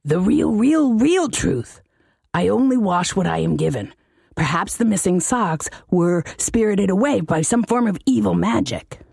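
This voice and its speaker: A woman's voice: medium pitch, lively intonation, unhurried, creaky, darkish tone, breathy edge, dry recording, studio quality, pitched above the performer's own voice, full character voice. A woman voicing a scheming, cowering old male demon servant who pleads in a servile way, in an audiobook story. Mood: pleading, evasive.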